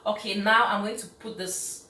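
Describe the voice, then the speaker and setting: A woman's voice: mid-range voice, fairly even intonation, moderate pace, sharp tone, echoey room, a little hiss or hum. A woman from Cameroon hosting a live cooking stream in her home kitchen, chatty and enthusiastic, laughing often as she reads out viewer comments. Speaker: cooking show host.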